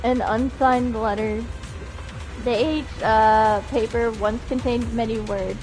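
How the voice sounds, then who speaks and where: Female game streamer, higher register, lively and informal, clear game music underneath. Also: takes it slowly, rough recording. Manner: giggly, animated reactions, stumbles when reading aloud. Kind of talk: livestream chat while reading game dialogue aloud. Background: video game music.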